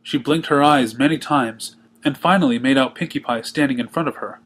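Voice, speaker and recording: A man reading a story aloud in expressive storybook narration. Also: medium pitch, fairly quick, breathy edge. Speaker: fan fiction reader.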